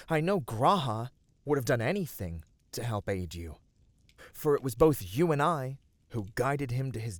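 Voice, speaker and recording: A man playing a gentle, devoted male battle dancer of a fantasy race in an ASMR roleplay, speaking earnestly and soothingly with dramatic pauses. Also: medium pitch, no echo. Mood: regretful. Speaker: voice actor.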